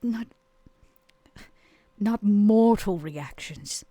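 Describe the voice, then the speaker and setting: A woman playing an aloof, haughty female vampire in a tabletop game, speaking in a deliberate, arch way. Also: mid-range voice, warm tone, dry recording. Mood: aloof, probing. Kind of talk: in-character tabletop roleplay.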